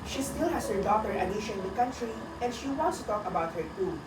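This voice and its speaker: A woman narrating a radio drama in a deliberate, solemn storytelling manner, reading a dramatic script. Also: mid-range voice, lively intonation, lightly acted. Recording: echoey, distant, very noisy.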